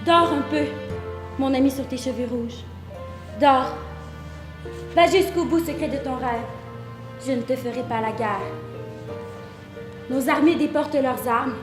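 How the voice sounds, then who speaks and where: A high female voice acted by a woman recites poetry with theatrical passion on stage. The room rings around the voice, and faint music sits in the recording. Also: reverberant, natural voice. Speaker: student stage performer. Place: echoey performance space.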